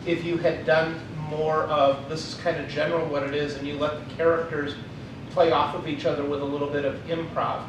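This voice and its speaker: A man's voice: little pitch movement, fairly quick. A man in the audience asking a long, hesitant question about how the script was written. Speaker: audience member. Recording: echoey room from far off with rumble.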